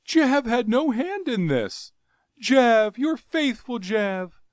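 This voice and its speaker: A man voicing a cringing, terrified male courtier who whimpers and pleads in a shrill voice. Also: slow, vocal fry, no room sound, studio quality, heavily acted. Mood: terrified pleading.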